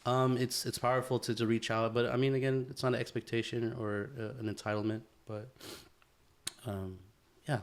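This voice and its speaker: Male podcast co-host, mid pitch, heartfelt, rambling, casual swearing. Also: little pitch movement, normal speed. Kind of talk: casual chat.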